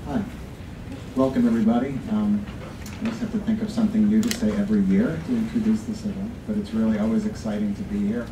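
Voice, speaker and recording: A man's voice: on the low side, expressive, fast talker, distant mic. A middle-aged man, a department chair, giving an informal, reflective speech to an audience. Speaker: college English department chair. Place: college classroom at a podium. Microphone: distant camera. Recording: echoey room from afar, audience noise. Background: audience and room noise.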